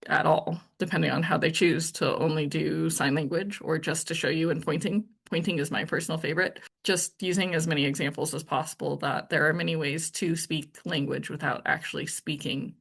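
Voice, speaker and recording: A woman hosting her own channel, talking through a true-crime case in a monotone, blunt, plain-spoken way. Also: low voice, a little fast, creaky, few pauses, slightly rough. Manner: blunt and to the point. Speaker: channel host. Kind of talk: casual true-crime commentary monologue.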